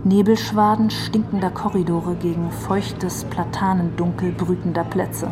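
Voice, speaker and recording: Lowish-voiced woman reading literature over music. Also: little pitch movement, measured pace. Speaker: reader of literary quotations. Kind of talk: literary reading, scripted and expressive.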